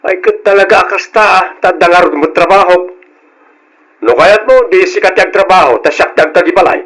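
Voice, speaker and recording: An actor with an Ilocano accent playing a careless, defensive young husband in an old-time radio drama, brusque and self-justifying. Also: male voice, high voice, normal speed, fairly bright tone, low-quality recording. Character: careless, hurried young husband, defensive, dismissive of his wife.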